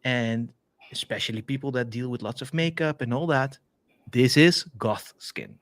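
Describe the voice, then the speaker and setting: A man with a Dutch accent casually walking through a software demo on a live stream, chatty and full of fillers and restarts, heard over a video call. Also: mid-range voice, moderate pace, no echo. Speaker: developer advocate co-hosting a stream. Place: home office, video call.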